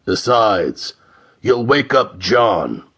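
A man voicing a big, dour Mercurian spaceman in a deep, gruff growl for an audiobook. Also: big pitch swings, drawn-out words, a bit bright, full character voice. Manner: dour, grumbling.

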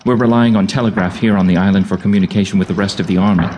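Actor as a low-voiced, bluff army general. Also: male voice, fairly even intonation, a little mellow, a little hiss or hum, close to an everyday voice. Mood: brisk, encouraging. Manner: brisk, plain-spoken, paternal. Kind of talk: full-cast audio drama acting.

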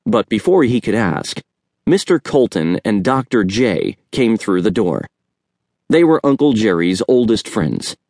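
A man's voice: on the low side, very animated, measured pace, darkish tone, no room sound, clean studio sound. A man reading a children's story aloud as scripted audiobook narration.

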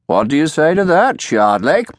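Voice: mid-range voice, big pitch swings, moderate pace, no echo, studio quality, pitched above the performer's own voice, natural voice. A man voicing a sneering, arrogant lawyer in a historical audiobook, with a mocking, theatrical manner. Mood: scoffing.

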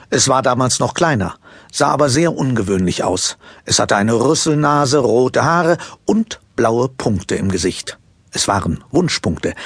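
A man narrating a children's story from a script in an expressive storytelling manner. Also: mid-range voice, slightly rough, dry recording.

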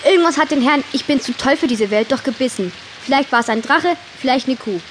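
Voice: high-pitched, lively intonation, fairly quick, a bit bright, slight background noise. A performer playing a cheeky, sarcastic country girl in a children's audio drama, with a wry delivery. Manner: wry, mock-formal at times.

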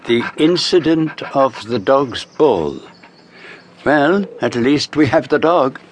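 An actor playing a precise, patient, fussy detective with a French-speaking Belgian accent in a radio drama, husky and formal in manner. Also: male voice, medium pitch, sing-song, slight background noise. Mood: thoughtful. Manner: precise, measured, formal, gently teasing.

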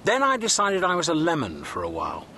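An actor playing a breezy, cheerfully unhinged galactic hitchhiker in a radio comedy, whimsical and offhand. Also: male voice, on the high side, huge pitch swings, fast talker, sharp tone, slight background noise, exaggerated voice. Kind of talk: radio comedy drama acting.

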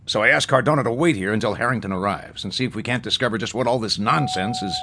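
An actor playing a brisk, worried police commissioner in a full-cast audiobook drama. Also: male voice, on the low side, sharp tone, slightly rough, full character voice. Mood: concerned, brisk.

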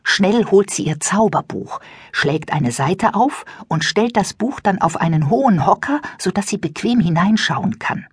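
Woman reading a kids audiobook, super breathy n whispery, really expressive up and down, dry studio. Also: mid-range voice, measured pace, dry recording. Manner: expressive, suspenseful storytelling. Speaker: audiobook narrator. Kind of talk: scripted children's storytelling.

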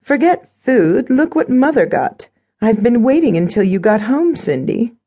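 Woman doing a spoiled stepsister, high and bratty. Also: very animated, measured pace, mellow, husky, exaggerated voice. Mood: gloating, excited. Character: spoiled, gloating young stepsister.

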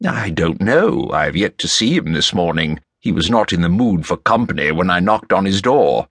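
Guy doing a proper polite doctor in a storybook, reserved, husky, lively pitch. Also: moderate pace, no room sound, clean studio sound, full character voice. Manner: formal, polite. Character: courteous, reserved gentleman doctor, earnest and proper. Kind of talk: audiobook character dialogue.

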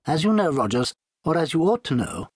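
A man's voice: a little high, sing-song, brisk, slightly rough, no room sound, studio quality, pitched above the performer's own voice. A man voicing a fussy, prim, humourless academic, earnest and pedantic, in an audiobook. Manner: pedantic, hen-like, long-winded formal phrasing. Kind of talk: audiobook character dialogue.

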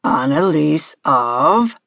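Storybook villain: horrid, pompous hag played by a woman, pitched well down, theatrical and demanding. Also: very animated, extremely slow, gravelly, a bit bright, no room sound, heavily acted. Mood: imperious, demanding. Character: imperious, horrid invisible hag demanding answers, female.

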